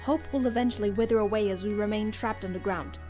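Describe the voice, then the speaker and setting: A woman's voice: medium pitch, fast, mellow, natural voice. A woman playing a resolute, regal monster queen in a comic dub, speaking formally and solemnly. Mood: firm, grave. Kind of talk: dramatic comic dub acting. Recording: music behind voice.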